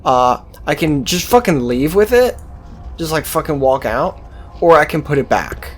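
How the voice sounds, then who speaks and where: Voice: mid-range voice, animated, moderate pace, breathy edge, soft background music. A game commentator talking casually and off the cuff, in a sweary, sardonic, offhand manner. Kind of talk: casual, improvised gameplay commentary.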